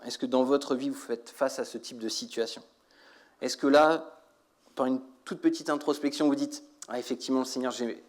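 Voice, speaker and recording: A man delivers a sermon as a church preacher in a medium-pitched voice. He speaks directly to his congregation, exhorting them and putting questions to them. He often repeats a phrase to drive it home.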